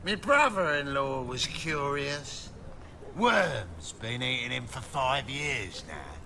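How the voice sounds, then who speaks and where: An actor playing a surly, mocking slum gatekeeper in a fantasy video game, gruff and colloquial. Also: male voice, mid-range voice, animated, takes it slowly, sharp tone, a little hiss or hum, heavily acted. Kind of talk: dramatic video game dialogue.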